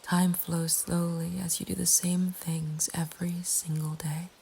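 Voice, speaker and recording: A woman narrating a scripted horror story in a breathy ASMR whisper, with a hushed, deliberate delivery. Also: fairly low pitch, flat delivery. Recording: faint ambient background noise. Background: soft nature ambience.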